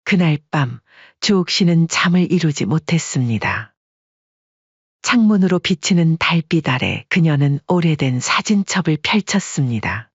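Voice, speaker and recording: Calm audio story narrator, kinda breathy, dry and muffled. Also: medium pitch, measured pace, no echo.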